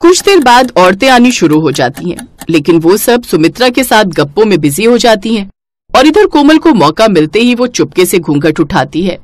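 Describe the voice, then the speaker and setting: A woman's voice: mid-range voice, big pitch swings, moderate pace, dry recording. A woman narrating a scripted family story as a storyteller.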